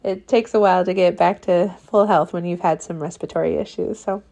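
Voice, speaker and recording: A woman in her thirties, hoarse and breathy while recovering from illness, chatting casually as she makes a card and laughing often. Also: on the low side, steady tone, fairly quick, dark tone. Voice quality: croaky and breathy. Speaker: card-making crafter. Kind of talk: casual crafting vlog.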